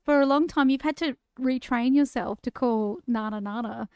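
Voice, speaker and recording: A woman's voice: high voice, moderate pace, a little mellow, clean tone, no echo. An Australian woman in her forties, co-hosting a podcast and telling her own story in a chatty, self-deprecating way. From Queensland, Australia. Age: 40s. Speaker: podcast co-host. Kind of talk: casual conversational podcast chat.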